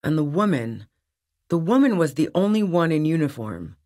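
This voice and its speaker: A woman's voice: low-pitched, big pitch swings, normal speed, dark tone. An actress playing a tough, wary, sardonic woman on the run in a video game, speaking bluntly. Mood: defensive, firm. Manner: blunt, sardonic, foul-mouthed. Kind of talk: video game character dialogue.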